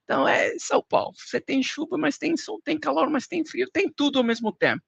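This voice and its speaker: A man's voice: very high voice, lively intonation, brisk, gravelly, fairly bright tone, no echo, muffled recording. A man from Colombia with a Colombian Spanish accent, a podcast guest explaining financial indexes in casual talk with everyday comparisons and some hesitant restarts.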